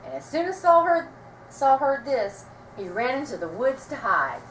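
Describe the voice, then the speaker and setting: A woman reading a children's story aloud with expressive, dramatic storytelling delivery. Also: high-pitched, moderate pace. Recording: roomy, distant mic, faint noise.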